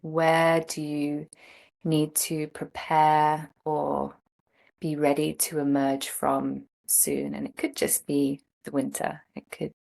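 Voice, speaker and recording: A woman teaching a guided meditation, speaking gently in short phrases with long pauses between them. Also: low voice, gravelly. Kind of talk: guided meditation, slow instruction.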